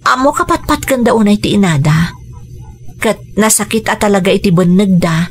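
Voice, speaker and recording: A woman's voice: medium pitch, animated, moderate pace, slight background noise, natural voice. A woman with an Ilocano accent, acting as a patient, caring stepmother in a radio drama. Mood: patient resolve. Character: patient, caring young stepmother wanting the children's acceptance. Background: music.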